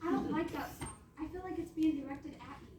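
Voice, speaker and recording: A woman plays a perky young princess on stage, high-voiced and sure of her own importance. She is sweet and bossy at once. The sound is echoey and noisy, from a distant mic. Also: a little fast, dark tone, close to an everyday voice. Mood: bossy, teasing. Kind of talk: comic stage acting. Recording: echoey hall, distant mic, clear noise.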